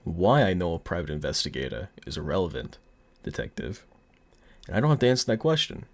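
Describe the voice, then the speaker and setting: Man playing a deep-voiced, cocky, flirty mafia boss. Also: big pitch swings, a little fast, no room sound, full character voice. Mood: smug, businesslike. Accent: put-on mobster accent. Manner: smug, teasing, theatrical drawl. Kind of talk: flirty ASMR audio roleplay.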